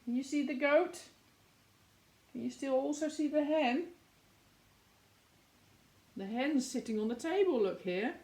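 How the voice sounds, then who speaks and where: A woman reading a picture book aloud to young children in an expressive way, with chatty explaining asides. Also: high-pitched, a little slow, pauses often.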